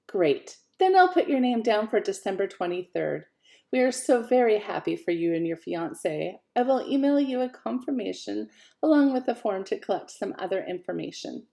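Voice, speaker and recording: A polite young receptionist speaks with bright, upbeat customer-service courtesy, voiced by a woman reading aloud. Her voice sits at a middle pitch with a touch of vocal fry. The manner stays friendly and professional throughout. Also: animated, moderate pace. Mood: courteous.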